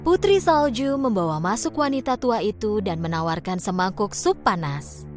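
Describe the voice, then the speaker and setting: A woman narrating a children's cartoon fairy tale in an expressive storytelling manner. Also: medium pitch, normal speed, faint music behind.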